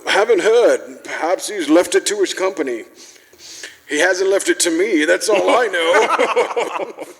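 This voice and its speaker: A man's voice: sits high, expressive, unhurried, a bit bright, exaggerated voice. A man playing a callous, indifferent Victorian businessman in a live radio play, offhand and dryly humorous. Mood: dry indifference. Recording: live in reverberant church.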